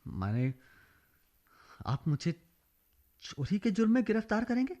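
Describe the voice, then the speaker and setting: An actor playing a principled, dignified village teacher in a radio play, earnest and measured. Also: male voice, high-pitched, huge pitch swings, dark tone, full character voice. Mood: shocked. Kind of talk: radio drama acting.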